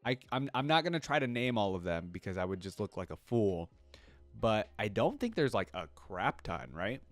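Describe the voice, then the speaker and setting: A man's voice: lowish voice, sing-song, normal speed, no echo, soft background music. A man hosting a reaction video, talking casually and thinking aloud in a rambling way, often checking himself with a questioning tag. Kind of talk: casual reaction commentary to camera.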